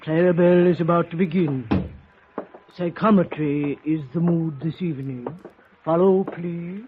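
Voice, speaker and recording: High-voiced, solemn old seance doorman acted by a man. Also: steady tone, natural voice. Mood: solemn, eerie. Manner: formal, ceremonious, slow. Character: tall, solemn gray-haired old male doorman at seance house. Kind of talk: 1950s radio drama acting. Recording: tinny, noisy vintage radio recording.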